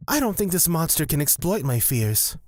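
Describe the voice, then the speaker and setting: Woman voicing sweet, lively young male cleric. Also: deep voice, moderate pace, no room sound, clean studio sound. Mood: earnest, confident. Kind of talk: fantasy audio drama acting.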